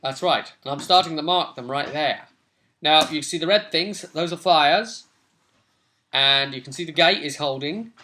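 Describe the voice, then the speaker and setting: A young man with a slightly high, bright voice talks casually as host. He chats about a tabletop game session as its game master, speaking into a webcam in a small home room. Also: normal speed, a little hiss or hum. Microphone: webcam at arm's length.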